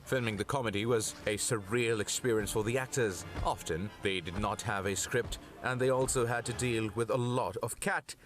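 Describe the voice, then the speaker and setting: A male reporter narrating a scripted entertainment news piece in a lively voice-over. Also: on the low side, moderate pace, hardly any pauses.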